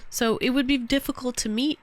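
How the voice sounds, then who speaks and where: A woman's voice: high-pitched, fairly even intonation, brisk. A woman from Canada in her thirties, hosting a casual voice-chat stream and rambling about old cartoons. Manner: rambling, humming cartoon theme songs. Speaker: streamer taking call-ins.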